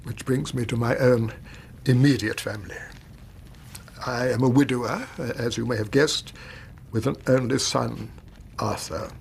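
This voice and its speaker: A male actor as a middle-aged English banker in a radio drama, a widowed and doting father sick with worry. His voice creaks as he speaks in formal, halting, agitated phrases. There is faint noise behind him. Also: mid-range voice, fairly even intonation, close to an everyday voice. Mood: hesitant, formal.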